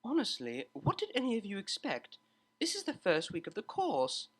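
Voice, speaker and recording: A woman's voice: medium pitch, huge pitch swings, normal speed, breathy edge, voice pushed up. A woman voicing a refined, haughty mare in a pony story, speaking formally and precisely with a condescending edge. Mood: disdainful. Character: refined, haughty gray mare, cellist, prim and cutting.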